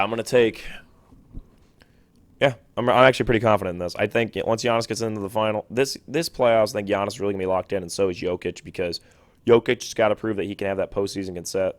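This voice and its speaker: Young male podcast host, lowish voice, quick chatty delivery with self-corrections, dry close mic. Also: no echo. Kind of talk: solo sports podcast talk.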